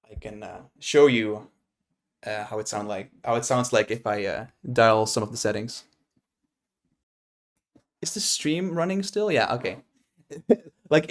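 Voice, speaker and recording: A young man from the Netherlands talks through his music software on a live stream, in a mid-pitched everyday voice. He rambles with plenty of fillers and restarts, now and then jumping up in loud surprise. It sounds like a desk microphone in a small home studio. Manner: rambling, many fillers and restarts, sudden excited outbursts. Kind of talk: casual live-stream talk while working.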